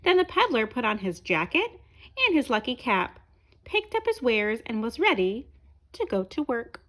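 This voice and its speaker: A woman reading a children's picture book aloud, with expressive, deliberate phrasing aimed at young listeners. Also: a little high. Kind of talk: children's read-aloud narration.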